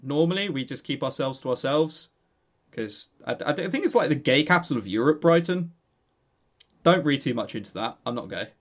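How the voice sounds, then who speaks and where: A man with a southern English accent co-hosting a casual gaming news chat, animated, sardonic and sweary in manner. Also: medium pitch, a little fast. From southern England. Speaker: podcast co-host.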